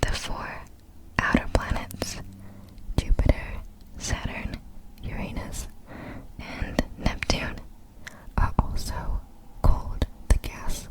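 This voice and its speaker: A woman whispering softly in an ASMR style, reading out facts in short, measured, breathy phrases. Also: low-quality recording. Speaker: ASMR video creator.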